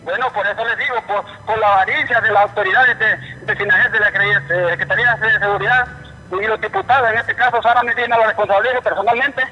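A man with a Honduran accent calling a live news programme by phone, speaking in an indignant, halting way and repeating himself. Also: high-pitched, fairly even intonation, sharp tone. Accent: Honduran Spanish. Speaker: phone-in caller, relative of a patient. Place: telephone call to a TV studio. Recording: muffled, reverberant phone line.

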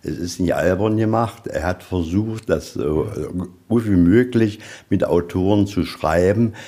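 A man's voice: sits low, a little slow, dark tone. A man explaining exhibits informally as a museum guide, conversational and a little rambling.

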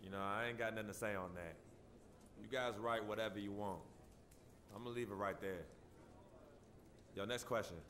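An actor playing a cocky young basketball star in a video game, answering press questions in brash, dismissive slang. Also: male voice, on the low side, fairly even intonation, normal speed, pauses often, a bit bright, a little hiss or hum, lightly acted. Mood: curt, dismissive. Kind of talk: acted press conference answers for sports game.

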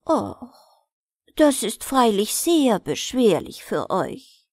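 A woman's voice: fairly high pitch, big pitch swings, slow, darkish tone, dry recording, clean studio sound, heavily acted. A woman voicing a sly, obliging male trickster servant in a fairy tale.

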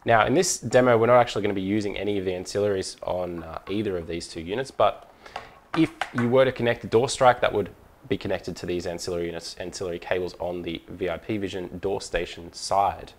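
A man's voice: low-pitched, fast talker. A man presenting a hands-on product tutorial in an informal, methodical, step-by-step way.

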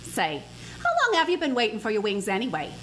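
A woman voicing a senior angel who tells the story in expressive narration, in a community radio play. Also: mid-range voice, brisk, a little hiss or hum, poor audio.

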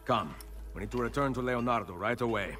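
An actor playing a stern, commanding hooded Renaissance assassin who speaks tersely in a video game. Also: male voice, medium pitch, a little fast, a bit bright, lightly acted. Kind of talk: dramatic video game cutscene acting.

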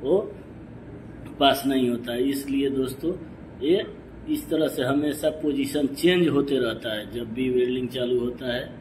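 A man's voice: medium pitch, a little slow, darkish tone, slight background noise, rough recording. A man hosting a welding channel, explaining a pipe weld step by step and often calling viewers "friends". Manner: repeats "dekhiye" and "doston" often, explanatory. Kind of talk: explaining welding over filmed work.

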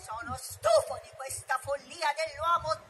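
A woman voicing a menacing, scornful male tiger in a read-aloud story, slow and threatening. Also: sits high, expressive, fairly bright tone. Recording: noisy background.

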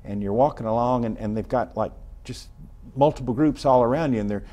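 A man's voice: mid-range voice, a little fast, mellow. An older man, a park ranger guest on a TV morning show, talking enthusiastically with many fillers and repeats. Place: TV studio set.

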